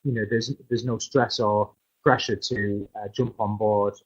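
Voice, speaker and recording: Loud, halting male presenter on a dull, muffled webinar feed. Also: on the low side, level tone, slightly rough. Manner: hesitant, many fillers and restarts. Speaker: course presenter. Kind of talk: live online course introduction.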